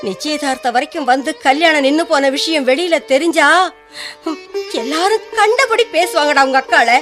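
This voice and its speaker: A woman playing a middle-aged, worried and reproachful mother in a Tamil radio drama, expressive and admonishing. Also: high-pitched, normal speed, lightly acted. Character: worried, reproachful mother of a hot-headed son. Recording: faint music bed under the voice.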